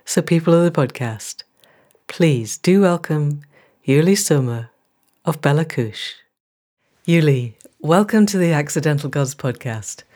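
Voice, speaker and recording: A woman hosting a podcast, speaking in a measured, earnest and articulate way, as in an introduction or an interview. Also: sits low, animated, mellow. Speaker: podcast host. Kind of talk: scripted podcast introduction, then conversational interviewing.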